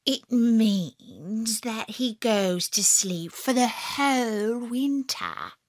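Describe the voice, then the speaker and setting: A woman's voice: on the high side, sing-song, dry recording, clean studio sound, full character voice. A woman voicing a sly, know-it-all male fox in a children's story. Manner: slow and knowing.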